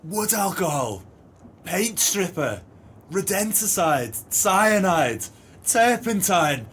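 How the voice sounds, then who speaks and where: A man's voice: high voice, sing-song, takes it slowly, fairly bright tone, a little hiss or hum, heavily acted. A man playing a bitter, scheming swindler in an audio play, with theatrical, grandiloquent speech. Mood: despairing outrage. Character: bitter, scheming male swindler, eloquently exasperated.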